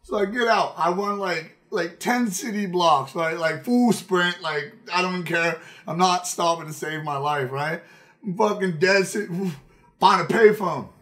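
High-pitched man telling stories on stream. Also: unhurried. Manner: animated, emphatic, repeats phrases for effect. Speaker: game streamer. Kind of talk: casual, profane storytelling on a livestream. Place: indoor room at a streaming setup.

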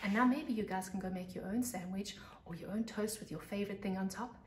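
A South African woman in her thirties reading a children's story with expressive, deliberate emphasis, like a teacher at storytime. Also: mid-range voice, slight background noise. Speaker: pre-primary teacher. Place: living room on a couch by large windows. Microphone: camera a couple of metres away. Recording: echoey room, camera mic.

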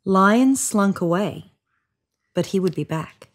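A woman in her thirties reading a children's picture book aloud on a sofa, with voiced sound effects and hushed whispers. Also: mid-range voice, lively intonation, slow. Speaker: storybook reader. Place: indoors on a sofa.

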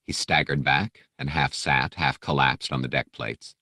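A man's voice: a bass voice, steady tone, unhurried, sharp tone, no room sound, clean studio sound. A man reading an adventure novel as scripted audiobook narration.

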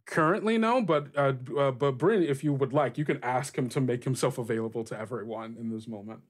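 A man running a tabletop game as game master, improvising narration and pausing as he searches for words. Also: mid-range voice. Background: music.

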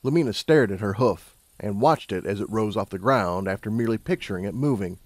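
A man narrating a scripted story as an audiobook narrator, in a measured, dramatic storytelling manner. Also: on the low side, a little mellow, no echo.

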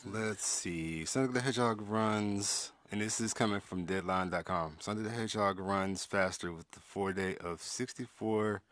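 A man's voice: lowish voice, moderate pace, few pauses, fairly bright tone, dry recording. A man co-hosting a podcast in a laid-back, agreeable way, chatting casually about a movie. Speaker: podcast co-host. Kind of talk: casual movie review chat.

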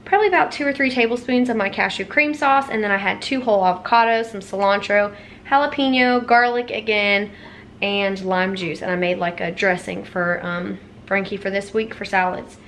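A woman's voice: medium pitch, steady tone, normal speed, fairly bright tone, a little hiss or hum. A woman in her thirties talking casually to the camera as a mother making a home vlog. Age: 30s. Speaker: vlogger and mother. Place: home kitchen and outdoor back porch. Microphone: camera at arm's length.

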